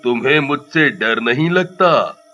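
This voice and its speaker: An actor playing a kindly ghost from a magic ring, deliberate and indulgent, in a children's story. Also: male voice, mid-range voice, expressive, pushed and bright, highs cut off, faint music behind. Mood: amused.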